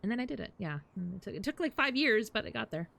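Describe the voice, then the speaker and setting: A woman's voice: a little high, lively intonation, quick pace, vocal fry, a bit bright. A woman livestreaming a game, chatting casually with viewers in a sweary, informal way. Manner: sweary, prone to laughing. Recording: faint game music behind. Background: faint music.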